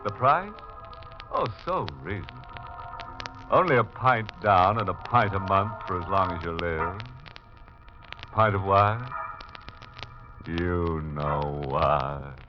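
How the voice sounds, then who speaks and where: Deep, ghoulish radio horror host. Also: male voice, animated, takes it slowly, long pauses. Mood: gleefully macabre. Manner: theatrical, teasing, alliterative. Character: ghoulish, mock-genteel male horror host relishing the macabre. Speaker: host of a mystery show. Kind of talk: 1940s radio horror hosting. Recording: muffled 1940s radio recording with music.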